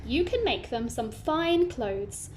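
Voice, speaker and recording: A woman reading the part of a humble, agreeable shoemaker in a fairy tale, earnest and measured. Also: high-pitched, animated, darkish tone, slight background noise.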